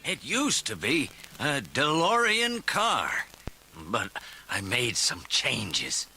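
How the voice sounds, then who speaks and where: Eccentric old inventor, excitable, sharp forceful tone, swooping pitch, dramatic storybook acting. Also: male voice, slow, faint music behind, heavily acted. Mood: proud, excited. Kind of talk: children's read-along story acting.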